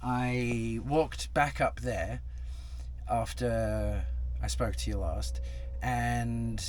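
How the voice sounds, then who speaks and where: A man's voice: mid-range voice, steady tone, drawn-out words, a little hiss or hum. A young man talking casually to camera in a travel vlog, rambling with lots of fillers and restarts, sitting in a truck cab. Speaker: travel vlogger. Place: inside a parked truck cab.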